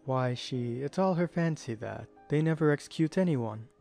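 A man acts an eccentric, unruffled storybook fellow. He muses nonchalantly in a mid-range voice over soft music. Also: medium pitch, animated, normal speed, creaky. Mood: amused. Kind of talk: dramatized audiobook acting.

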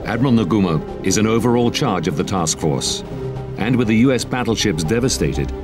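Low male documentary narrator over loud music. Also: animated, brisk. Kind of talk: scripted narration. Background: dramatic score.

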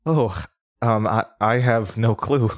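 A man's voice: on the low side, slow pace. An actor playing a nervous, confused young man under interrogation, stammering and hesitant in a naturalistic audio drama. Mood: uneasy, defensive.

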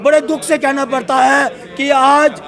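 A man's voice: very high-pitched, little pitch movement, unhurried, creaky, a bit bright, soft background music. A man, a politician making insistent, challenging remarks to the press. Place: large indoor hall. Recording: crowd murmur in hall.

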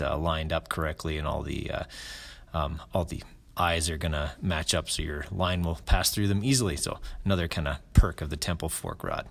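A middle-aged man talks through his fishing gear in a very low, deep voice. He speaks in a relaxed, casual way, often pausing with ums and restarting his sentences. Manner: relaxed, many fillers and restarts. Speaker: fly fishing channel host. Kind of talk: casual gear explainer voice-over.

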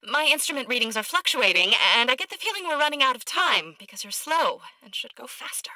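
An actress voicing an eccentric, arrogant woman scientist in a video game, blunt and socially awkward, with clipped, precise, deadpan delivery. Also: high-pitched, brisk, no room sound, full character voice, rough recording. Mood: deadpan, impatient.

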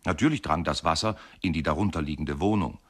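A man's voice: a bass voice, fast, husky. An actor playing a wry, rueful husband of a river goddess, telling his tale drily in a radio play monologue.

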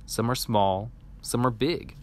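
A man reading a children's picture book aloud in an animated, expressive storytelling manner. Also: mid-range voice, takes it slowly. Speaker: storybook reader.

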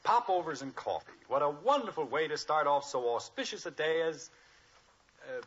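Animated, teasing American radio dad. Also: male voice, on the high side, moderate pace, husky. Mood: playful. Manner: playful, mock-grandiose. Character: genial teasing middle-aged suburban father. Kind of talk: 1950s radio sitcom acting. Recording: muffled old radio recording.

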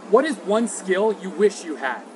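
A man hosts a quick-fire interview, delivering short prepared questions. His voice is echoey, with clear outdoor ambient noise around it. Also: high-pitched, sing-song. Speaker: interviewer, host. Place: outdoor city walkway.

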